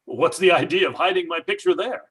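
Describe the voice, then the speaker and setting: A man's voice: fairly high pitch, a little fast, fairly bright tone, slightly rough. An actor playing a brash, skeptical man with a blunt, folksy, indignant manner, in old-time radio drama style. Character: brash, skeptical, money-minded house buyer.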